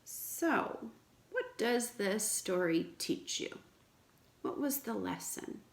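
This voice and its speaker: A woman teacher reading aloud to children in an expressive, explanatory way, with questions for the listeners. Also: mid-range voice, slow pace, gravelly. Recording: echoey room.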